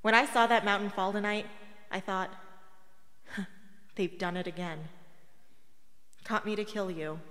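A woman's voice: medium pitch, steady tone, a little slow, pauses often, fairly bright tone, natural voice. A woman acting a defiant, war-weary teenage rebel girl in a radio play. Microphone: close studio broadcast microphone. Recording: long hall reverb.

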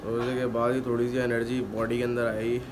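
A young man in his twenties chatting casually to his viewers while tired from fasting. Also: mid-range voice, completely flat delivery. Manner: chatty, addresses viewers as "your brother". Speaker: vlogger. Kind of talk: casual daily vlog chat. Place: home, indoors. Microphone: phone camera held close. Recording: noisy phone vlog audio.

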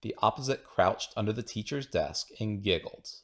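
A man reading a children's picture book aloud in an expressive storytelling manner. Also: on the low side, moderate pace. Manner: expressive storytelling with acted dialogue. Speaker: storybook reader.

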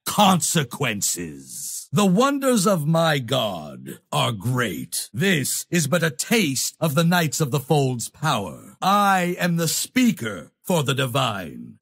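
A domineering crusader knight for a video game, proud and devout to the point of zealotry. He declaims slowly and solemnly in a gravelly voice. His pitch swings dramatically as he pronounces his imperious judgments. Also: fairly bright tone, full character voice. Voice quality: raspy, gravelly. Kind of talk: video game character voice lines.

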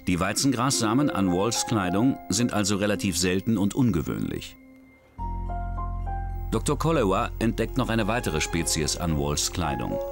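A man narrating a true crime documentary in a measured, dramatic storytelling manner. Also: low voice. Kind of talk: scripted narration. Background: music.

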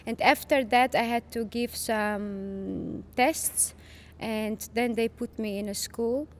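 A woman's voice: on the high side, steady tone, takes it slowly, slight background noise. A young woman from Chechnya, a native Dutch speaker, talking in an interview with many fillers and restarts in a studio. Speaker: podcast guest. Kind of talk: casual interview conversation. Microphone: boom-arm studio mic.

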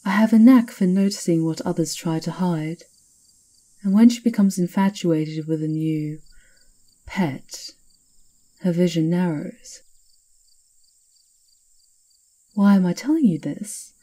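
A low, velvety-dark witch of a gothic castle, acted by a woman, speaks with sly theatrical relish. She teases and confides like a knowing conspirator in an ASMR fantasy tale, her voice soft-edged and husky. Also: measured pace, rough recording. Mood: knowing, confiding. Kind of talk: ASMR roleplay.

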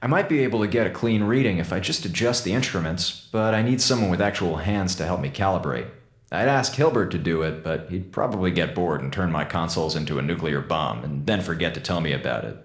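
Slangy, wisecracking space crewman, animated and theatrical, echoey sound. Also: low voice, brisk. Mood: flippant, casual. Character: wisecracking, theatrical male space station communications officer. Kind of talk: audio drama acting.

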